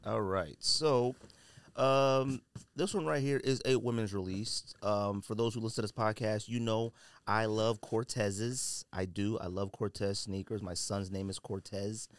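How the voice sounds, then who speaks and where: A man's voice: lowish voice, steady tone, a little slow, clean tone, no room sound. An American man from California hosting a podcast, chatting casually and informally with plenty of slang. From California, United States. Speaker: podcast host. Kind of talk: casual solo podcast chat about sneakers.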